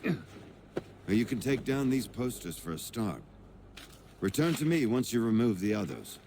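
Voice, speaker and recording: An actor playing a genial, self-assured colonial gentleman in a video game, wry and articulate, with ambient noise behind. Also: fairly low pitch, very animated, unhurried. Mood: amused, assured. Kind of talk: video game cutscene acting.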